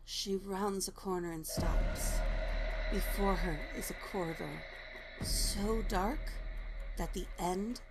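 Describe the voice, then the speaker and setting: A woman's voice: medium pitch, slow pace, over loud music, low-quality recording. A woman narrating scripted stage directions for a drama.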